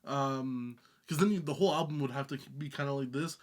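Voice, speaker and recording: A man's voice: medium pitch, brisk. Hesitant man chatting about music. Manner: hesitant, many fillers and restarts. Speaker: music reaction show co-host. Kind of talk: casual reaction chat.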